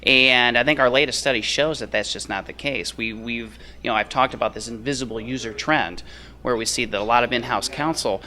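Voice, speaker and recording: Bright-voiced man explaining as interview guest. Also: mid-range voice, a little fast, slight background noise. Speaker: interview guest, company founder and expert. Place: on location at a conference.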